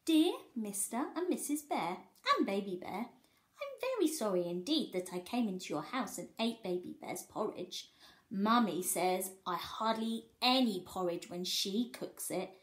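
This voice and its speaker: Woman acting polite little storybook girl, apologetic, earnest, sing-song, swooping pitch. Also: very animated, measured pace, echoey room.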